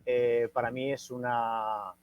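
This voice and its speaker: A man's voice: mid-range voice, flat delivery, slow, clear, resonant tone. Hesitant man on a muffled call. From Catalonia, Spain. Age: older. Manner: hesitant, many fillers and restarts. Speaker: guest writer. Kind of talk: casual panel talk.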